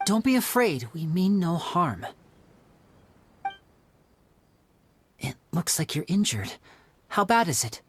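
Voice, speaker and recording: A performer voicing a charming, smooth young male magician in a video game, with a polite, persuasive manner. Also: high-pitched, big pitch swings, moderate pace, a little hiss or hum. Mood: reassuring.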